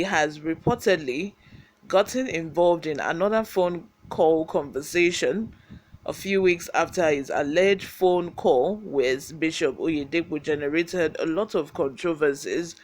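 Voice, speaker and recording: Higher-pitched Nigerian man presenting news. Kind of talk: scripted news narration with informal commentary.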